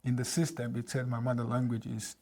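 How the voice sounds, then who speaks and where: A man in his thirties, from Ghana, talks as a guest on a casual podcast. He tells his story with energy and acts out past conversations. His voice sits at a middle pitch. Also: fairly quick. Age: 30s. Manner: animated storyteller, acts out dialogue with laughs.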